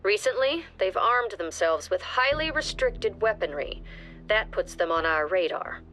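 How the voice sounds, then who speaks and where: An actress voicing a calm, authoritative agency director giving businesslike mission briefings in a video game. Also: female voice, medium pitch, unhurried, low-quality recording.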